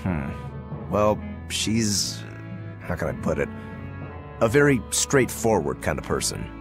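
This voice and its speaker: Casting: kindly veteran game warrior, tactful, lively intonation, music behind. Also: male voice, sits low, takes it slowly, full character voice. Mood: reassuring. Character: seasoned male warrior, kindly, tactful mentor. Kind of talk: English game voice acting.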